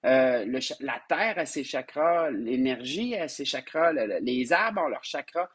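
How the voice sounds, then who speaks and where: A man with a Québécois French accent gives a live-streamed spiritual lesson, hoarse from a sinus infection, who repeats words and restarts often and calls his listeners his loves. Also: mid-range voice, animated, a little slow, fairly bright tone. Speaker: spiritual teacher.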